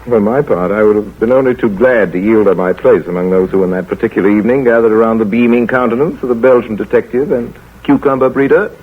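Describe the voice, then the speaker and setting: An actor playing a dry, measured village doctor who narrates the story, in a 1940s radio play. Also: male voice, fairly low pitch, husky. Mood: narrating. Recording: tinny old radio broadcast.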